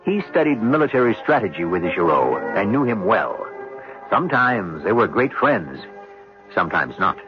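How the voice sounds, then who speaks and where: A man hosting a radio drama series with scripted, theatrical narration. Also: low-pitched. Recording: muffled radio recording over music. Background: music.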